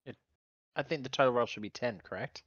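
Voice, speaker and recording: A man's voice: medium pitch, fairly quick, a bit bright, no room sound, muffled recording. A man speaking as a player in a tabletop roleplaying game.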